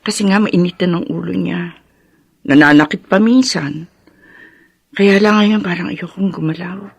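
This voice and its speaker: Radio drama role: bereaved, well-off Filipina from the countryside, weary and emotional, husky voice, dry studio sound. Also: female voice, on the low side, unhurried, dry recording, heavily acted. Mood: heavy-hearted, grateful. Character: grieving, weary woman from a well-off rural family.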